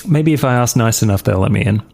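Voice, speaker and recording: A man's voice: fairly low pitch, quick pace, creaky, darkish tone, faint music behind. A man playing his character in a tabletop role-playing game, speaking in a hesitant, wry way. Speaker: player.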